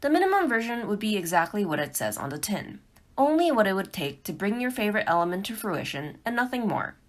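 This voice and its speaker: A woman's voice: mid-range voice, big pitch swings, fast talker. A woman giving a scripted tutorial talk for beginners, phrasing her points carefully and with emphasis. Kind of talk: scripted instructional narration.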